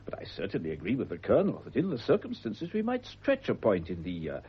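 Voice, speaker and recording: An actor playing a courteous, ceremonial Crown official with a British accent in a radio drama, speaking in a formal, measured way. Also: male voice, fairly low pitch, wildly swooping pitch, husky, muffled recording, exaggerated voice. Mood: formal, solemn.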